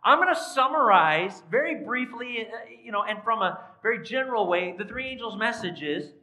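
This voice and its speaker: A man in his forties preaches across a church stage, his bright, forceful voice dropping to quiet asides and then rising to loud, emphatic lines. He is tired from a recent cold but stays animated. He is picked up on a body mic in the large sanctuary. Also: high-pitched, normal speed. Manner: animated, pacing the stage with sweeping gestures; swings from soft asides to loud emphatic declarations. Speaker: pastor. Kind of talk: sermon.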